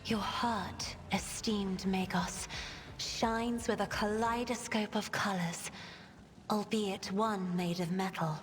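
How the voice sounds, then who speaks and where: A woman's voice: mid-range voice, fairly even intonation, slow, lightly acted. An actress playing a serene, mystical woman in a video game, speaking in ornate, formal phrases. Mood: awed. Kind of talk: video game character acting. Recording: long reverb, faint noise.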